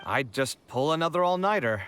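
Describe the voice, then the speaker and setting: A man voicing an expressive, sardonic young architect in video game dialogue, with a theatrical, wry delivery. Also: fairly high pitch, moderate pace, slight background noise. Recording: game music behind the voice.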